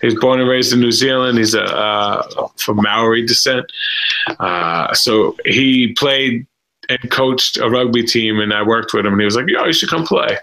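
A man in his thirties co-hosting a casual video-call stream, rambling and halting with many fillers. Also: medium pitch, pushed and bright, poor audio. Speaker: livestream co-host. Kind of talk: casual chat about reality TV contestants.

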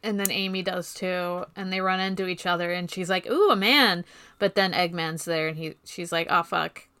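A woman co-hosting a podcast, chatting casually and jokingly with animated interjections. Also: on the low side, moderate pace, clean tone, no room sound. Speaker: podcast co-host.

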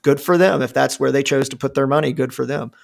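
Man, 40s, gravelly voice, casual talk, rambling, swears a lot, many fillers. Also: medium pitch, fast. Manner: rambling and profane, with frequent fillers and restarts. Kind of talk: casual conversation.

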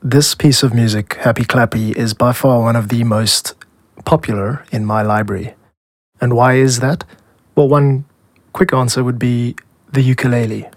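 A South African man narrates a podcast in a measured, explanatory way. His voice sits at an average male pitch and is recorded close and dry, with very little room sound. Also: fairly even intonation, no room sound. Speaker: podcast host, music composer and producer.

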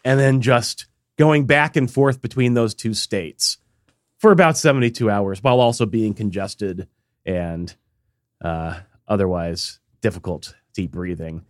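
A man co-hosting a casual podcast chat, congested and tired, talking in a rambling, halting way with many fillers. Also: fairly low pitch, no echo, clean studio sound. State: congested, recovering from illness. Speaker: podcast co-host.

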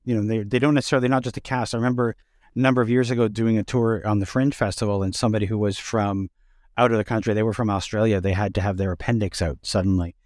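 A man's voice: on the low side, steady tone, fast talker, a little mellow, dry recording, studio quality. A man hosting a casual, conversational interview show. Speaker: podcast host interviewing theatre artists.

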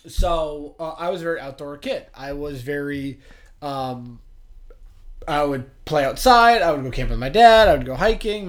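A man from western Massachusetts co-hosting a casual podcast, telling personal stories in a halting, rambling way that repeats phrases. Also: fairly high pitch. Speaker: podcast co-host.

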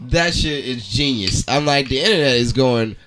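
A man's voice: mid-range voice, expressive, moderate pace, over a noisy crowd. A man co-hosting a podcast in casual banter, using profane slang and often repeating himself. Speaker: podcast co-host.

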